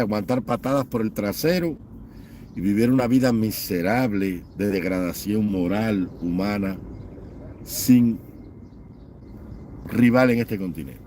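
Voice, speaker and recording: A man's voice: lowish voice, darkish tone, a little hiss or hum. Fiery man ranting. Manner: fiery, repetitive listing, mocking. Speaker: political commentator. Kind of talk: impassioned political rant.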